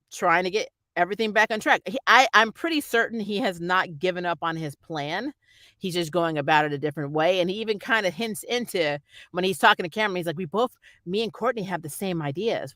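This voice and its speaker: Bright-voiced reviewer, dry close recording. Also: medium pitch, no room sound. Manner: rambling, conversational, slangy, mildly exasperated. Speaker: YouTube TV series reviewer. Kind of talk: casual opinionated review chat.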